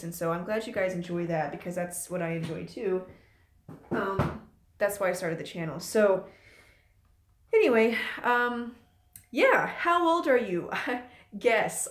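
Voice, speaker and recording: A young woman in her late twenties talks in a loose, rambling way, filling her pauses with ums. She hosts her own channel from a room at home. Her voice sits at an ordinary middle pitch, and the room gives it a noticeable echo. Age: late 20s. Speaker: channel host. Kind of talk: casual live-stream chat. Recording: echoey room.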